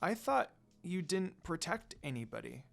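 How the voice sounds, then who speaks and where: An earnest young woman from an anime-style story, vulnerable and easily startled, acted out by a reader with a very lively pitch. She sounds open and questioning, with music playing behind her. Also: unhurried, vocal fry. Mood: bewildered. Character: young woman, frightened, hurt, confused, earnest.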